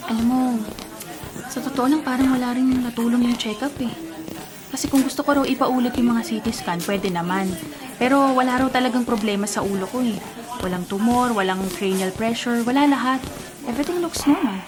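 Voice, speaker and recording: A woman's voice: a little high, fairly quick, close to an everyday voice. An actress playing a young, troubled and confused woman race driver in a Filipino radio drama, earnest and conversational. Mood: casual, defensive. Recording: radio drama under clear background music.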